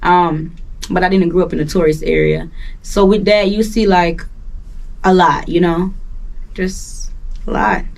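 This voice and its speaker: A woman's voice: lowish voice, unhurried, vocal fry, long pauses, distant mic. A young woman from Jamaica with a Jamaican accent, talking casually as an interview guest in a laid-back, slangy, rambling way. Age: young adult. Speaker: guest musician.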